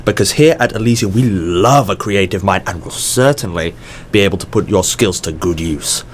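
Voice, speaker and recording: Male, actor as mysterious corporate boss for audio drama: lowish voice, polished, probing, noisy ambience. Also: moderate pace, close to an everyday voice. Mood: encouraging, eager. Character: mysterious, smoothly encouraging male company boss conducting an interview.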